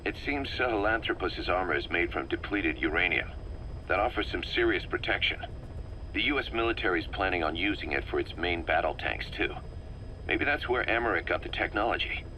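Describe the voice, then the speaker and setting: An actor playing a serious military second-in-command in a video game, giving matter-of-fact explanatory briefings. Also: male voice, deep voice, lively intonation, a little fast, pushed and bright, a little hiss or hum, poor audio. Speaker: military deputy briefing his boss.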